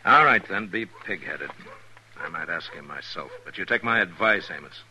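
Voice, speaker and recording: An American actor playing a calm, fair-minded frontier marshal in a 1950s radio western, speaking plainly and with authority. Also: male voice, sits low, full character voice. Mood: measured, advising. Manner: measured, plain-spoken, authoritative. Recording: noisy old radio drama recording.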